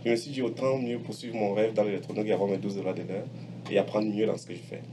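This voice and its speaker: A middle-aged man giving a personal testimonial as an entrepreneur, speaking in a halting, deliberate way and repeating phrases for emphasis. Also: fairly low pitch, steady tone. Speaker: entrepreneur, electronics technician by training. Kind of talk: personal testimonial, explaining his career. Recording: clearly noisy background ambience.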